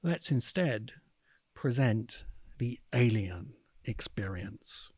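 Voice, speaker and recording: A man hosting a podcast, speaking in reflective commentary with an earnest, emphatic delivery and deliberate dramatic pauses. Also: medium pitch, animated, slightly rough, no echo. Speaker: podcast host and video essayist.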